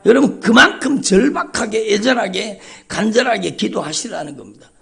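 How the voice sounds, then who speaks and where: A man's voice: high-pitched, animated, moderate pace, creaky, fairly bright tone. A male pastor preaching an emphatic, repetitive sermon at a dawn church service, calling out often to the congregation. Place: church sanctuary.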